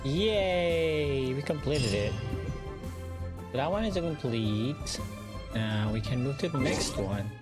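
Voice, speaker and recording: Slow-paced game streamer with lively pitch. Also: loud music behind the voice. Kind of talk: casual gameplay commentary. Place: live game stream with game music behind.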